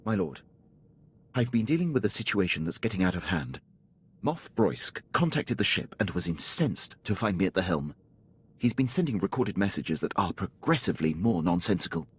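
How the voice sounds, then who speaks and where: An actor playing a dutiful, formal military officer in a video game, deferential and precise, with clipped phrasing. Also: male voice, deep voice, sing-song, moderate pace, a little hiss or hum. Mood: restrained concern. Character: dutiful, formal male imperial military officer, loyal subordinate. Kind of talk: video game character acting.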